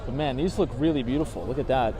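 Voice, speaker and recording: A man with a hoarse, fading voice chatting casually about markets and sights while walking outdoors in a city, reading out viewer comments with lots of fillers and "right?" tags. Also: medium pitch, fast. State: hoarse, losing his voice. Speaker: vlogger talking markets on a city walk. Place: outdoors on city streets and in a park. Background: traffic sounds.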